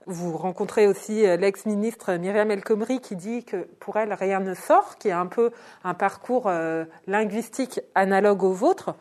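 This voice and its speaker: Woman host asking questions, lots of euh, middle pitch. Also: moderate pace, darkish tone. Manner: summarizing, hesitant fillers. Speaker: interviewer. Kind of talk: radio interview.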